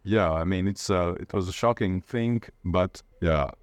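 A man with a low voice and a Latvian accent speaks informally as an interview guest. He is hesitant and often searches for the next word. The sound is dry, with very little room in it. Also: no echo. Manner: hesitant, halting, groping for words. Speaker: interviewee, playwright and literature lecturer.

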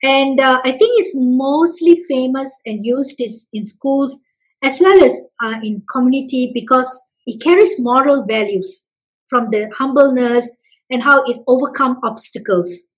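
A woman from Malaysia, answering interview questions with many pauses and restarts. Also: high-pitched, poor audio. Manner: hesitant, many fillers and restarts. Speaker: festival representative guest.

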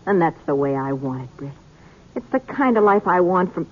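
A woman's voice: fairly low pitch, animated, normal speed. An actress playing a cheerful frontier wife and mother, effusive and homey, in 1950s radio western style. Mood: earnest. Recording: muffled, noisy old radio recording.

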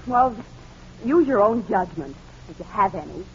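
An actress playing a spirited, quick-tongued newsman's sidekick, tart and dramatic, in a 1940s radio crime drama. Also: medium pitch, expressive, natural voice. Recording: dull, noisy old radio recording.

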